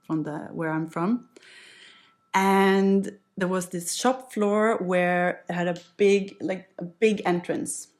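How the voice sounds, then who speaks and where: A woman in her late thirties from the west coast of Norway, with a Norwegian accent, speaking English natively Norwegian, telling her story as a podcast guest in a relaxed, reflective way. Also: fairly low pitch. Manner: reflective, easygoing, with light laughs. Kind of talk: casual conversational storytelling.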